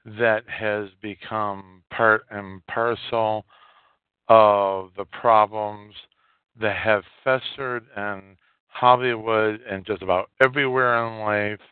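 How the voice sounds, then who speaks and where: A man hosting a solo podcast, giving opinionated commentary in halting, emphatic phrases with a lot of repetition. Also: on the low side, steady tone, clean tone, no echo. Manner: coarse, swearing. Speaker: podcast host. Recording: narrow phone-like line, dry.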